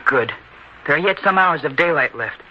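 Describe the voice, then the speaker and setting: A man's voice: a little high, animated, moderate pace, natural voice. A man acting a proud, headstrong farm boy in an old-time radio drama, earnest and declamatory in his insistence. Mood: impatient, firm. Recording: dull, lo-fi radio recording with hiss.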